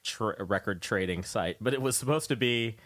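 A man with a fairly low voice hosts a casual radio interview. He rambles off into tangents as he talks, and the sound is dry and close. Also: moderate pace, a bit bright, dry recording. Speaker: radio show host and interviewer.

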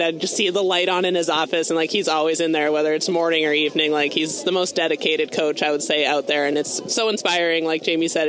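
A Canadian woman basketball player answering interview questions earnestly and laughing easily, outdoors. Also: low voice. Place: outdoor event stage.

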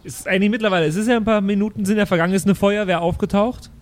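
A man's voice: high-pitched, steady tone, rapid-fire. A man speaking casually as a player in an improvised tabletop game.

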